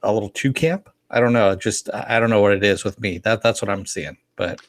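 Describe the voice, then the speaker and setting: Low-voiced man, dry close recording. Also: fairly even intonation, brisk, dry recording. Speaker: podcast co-host. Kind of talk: casual TV review chat.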